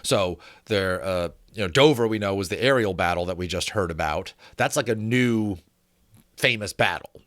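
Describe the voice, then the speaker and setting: Guy chatting about a book he reads aloud, lowish voice, really into it, dry recording. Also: normal speed, a bit bright, no room sound. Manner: enthusiastic, informal, rambling asides. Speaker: narrator, host of dramatic book readings. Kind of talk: chatty commentary and dramatic audiobook reading.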